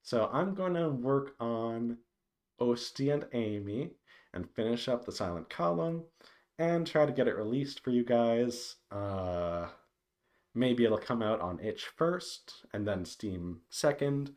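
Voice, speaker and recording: A man giving a casual, unscripted update to viewers, thinking aloud in a hesitant, meandering way with halting pauses. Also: mid-range voice, expressive, darkish tone. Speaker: visual novel developer giving a devlog update.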